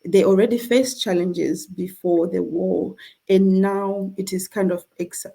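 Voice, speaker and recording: A woman's voice: medium pitch, steady tone, measured pace, vocal fry, warm tone, slightly rough. A middle-aged woman from Zimbabwe, a charity representative hosting an online conversation, speaking earnestly with frequent fillers and restarts.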